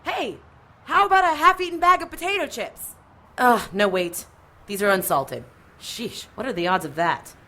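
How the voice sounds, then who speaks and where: An actress playing a feisty, skeptical policewoman with a sharp tongue in an audio drama. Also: a little high, animated, normal speed. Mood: exasperated. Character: feisty, skeptical armed female police officer, sidekick.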